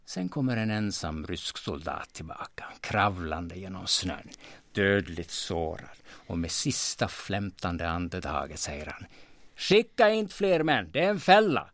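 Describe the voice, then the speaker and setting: A man's voice: sits low, lively intonation, dry recording. A man acting a grumpy, easily flabbergasted junk-hoarding sauna owner in an audio drama, with theatrical delivery. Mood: dramatic storytelling. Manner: theatrical, swooping into loud exclamations. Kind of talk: audio drama dialogue and joke-telling.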